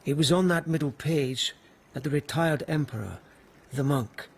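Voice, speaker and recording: An actor playing a weary but dogged police lieutenant in a radio period mystery, speaking hesitantly and with earnest respect. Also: male voice, fairly high pitch, moderate pace, husky. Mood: deferential, uneasy.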